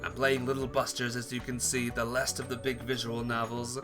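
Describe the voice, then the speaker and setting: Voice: mid-range voice, little pitch movement, moderate pace, over music. A playthrough host reading visual novel dialogue aloud with casual commentary, slipping into character voices along the way.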